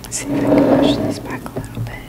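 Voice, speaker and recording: A woman giving a casual, chatty ASMR product review in a whisper, informal and opinionated as she rambles along. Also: fast talker. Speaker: ASMR video creator.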